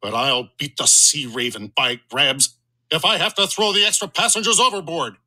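A man voicing a blustering, gruff middle-aged sea captain who roars his lines. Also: a little high, moderate pace, sharp tone, low-quality recording. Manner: roaring, blustery.

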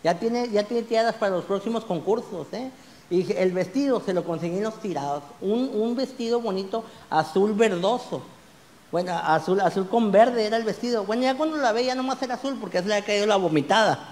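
A man, doing an animated stand-up routine full of crude slang on a small club stage, holding a handheld mic. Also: high voice, a little fast, long reverb tail. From Mexico. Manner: animated, gesturing broadly, crude slang, rising to shouts on punchlines. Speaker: stand-up comedian.

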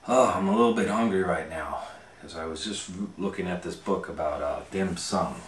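A middle-aged man reading a picture book aloud to children in a relaxed, chatty way, sometimes dropping to a near whisper, recorded on a close camera mic at home. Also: low-pitched, normal speed, a little hiss or hum. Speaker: children's storytime reader. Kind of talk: casual read-aloud for kids.

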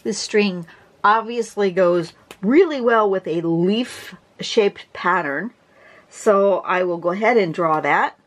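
A woman with a congested voice teaching a drawing pattern in a casual, chatty way, often restarting and clearing her throat. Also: medium pitch, slow pace. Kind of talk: casual tutorial narration. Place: home desk, camera over the drawing surface.

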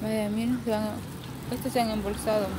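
A woman's voice: mid-range voice, little pitch movement, fairly quick. A woman from El Salvador with a Salvadoran accent, chatting casually to viewers as she films a street drink stand. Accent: Salvadoran Spanish. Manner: chatty, explains things to viewers. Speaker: vlogger filming a street vendor. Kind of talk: casual narration while filming. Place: outdoor street stall by a road. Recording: loud street traffic noise.

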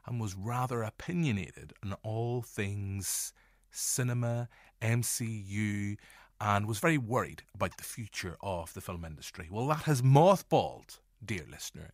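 Northern irish guy hosting a podcast, lowish voice, dry close sound, playful asides. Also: no echo. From Northern Ireland. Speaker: podcast host. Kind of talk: scripted-sounding podcast introduction.

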